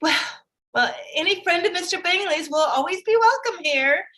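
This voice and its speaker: A fussy middle-aged matchmaking mother of period drama. The voice is high and piercingly bright, with wildly swinging intonation, effusive and theatrical in every phrase. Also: expressive, moderate pace, full character voice. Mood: flustered, falsely polite. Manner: effusive, dramatic, chattering with gasps.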